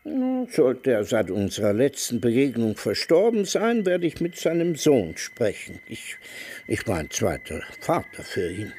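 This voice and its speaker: An actor playing an opinionated, scornful old man in a radio drama, sardonic and declamatory, with a husky voice. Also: mid-range voice, wildly swooping pitch, a little slow, darkish tone, full character voice. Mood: dignified. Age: older.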